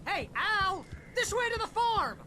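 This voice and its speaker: A man's voice: very high voice, very animated, unhurried, background noise, exaggerated voice. A man playing a loyal, goofy best buddy in a video game, chatty and wisecracking. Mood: excited, curious. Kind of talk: comic video game acting. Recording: loud music behind the voice.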